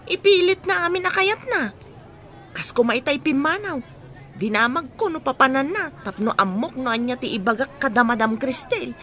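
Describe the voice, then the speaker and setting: A woman's voice: high-pitched, expressive, normal speed, a bit bright, some chatter behind. A woman playing a gossipy young housemaid in an Ilocano radio comedy drama, with an Ilocano accent. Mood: gossiping, exasperated.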